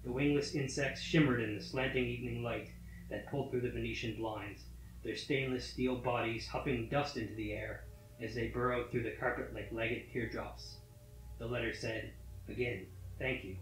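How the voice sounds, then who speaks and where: A man reading a scripted horror story in a measured, matter-of-fact manner. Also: mid-range voice, steady tone. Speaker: story reader. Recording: roomy echo. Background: faint music.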